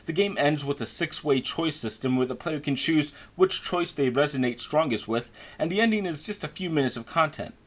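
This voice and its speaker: Conversational man reviewing video games. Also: on the high side.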